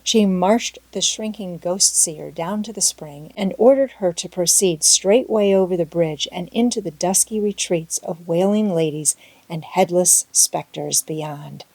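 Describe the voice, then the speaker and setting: A woman reading a scripted story aloud as an expressive audiobook narrator. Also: lowish voice, a little slow, no room sound, rough recording.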